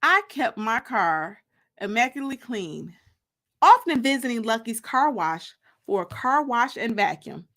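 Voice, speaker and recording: Female audiobook narrator, slightly high pitch, highly varied intonation, expressive and deliberate dramatic reading. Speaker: author reading her book.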